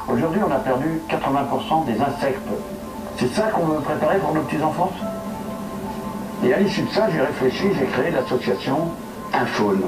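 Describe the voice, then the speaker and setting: Lively older French man, music behind. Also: fairly high pitch, echoey room, distant mic, low-quality recording. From France. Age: 70s. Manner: animated, playful teasing with animals. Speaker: wildlife association founder and speaker. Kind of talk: casual spoken vlog talk.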